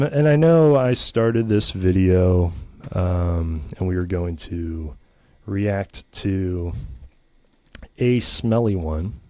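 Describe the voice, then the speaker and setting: A pompous, self-satisfied man holds forth in a very deep voice, rambling and restarting as he brags. The recording is close and dry, with no room sound. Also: warm tone, dry recording. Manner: smug, pompous and self-aggrandizing; meandering, full of ums and restarts. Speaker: comedy channel host. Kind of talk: rambling comedic monologue.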